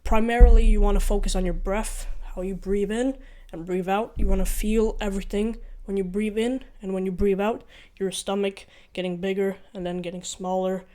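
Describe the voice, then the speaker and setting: A man's voice: sits high, flat delivery, normal speed, few pauses, warm tone. Earnest young man, Ukrainian-Norwegian accent. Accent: mixed Ukrainian and Norwegian. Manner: earnest, rambling, self-correcting, repeats himself. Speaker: vlogger. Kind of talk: casual advice vlog.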